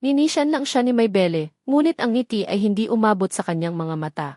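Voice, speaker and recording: A woman's voice: mid-range voice, animated, fairly quick, a little mellow, no echo, studio quality. A woman with a Filipino accent reading a scripted, dramatic story as its narrator.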